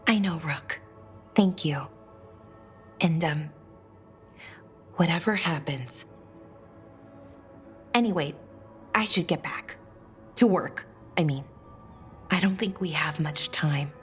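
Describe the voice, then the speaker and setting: A woman's voice: sits low, slow, pauses often, soft background music. A woman acting an earnest, anxious young scholar in a video game, hesitant and adding trailing afterthoughts. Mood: anxious, hopeful.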